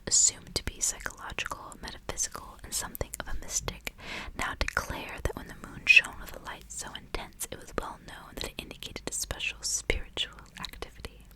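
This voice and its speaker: A woman reading aloud in a soft, breathy, hushed whisper, in an intimate ASMR style. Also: fairly quick, poor audio. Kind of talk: whispered ASMR audiobook reading with casual asides.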